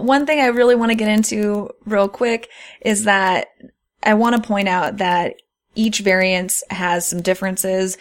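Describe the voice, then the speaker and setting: A woman co-hosting a podcast, talking casually and explaining things in an earnest way. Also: fairly low pitch. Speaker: podcast co-host. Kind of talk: casual conversational podcast talk.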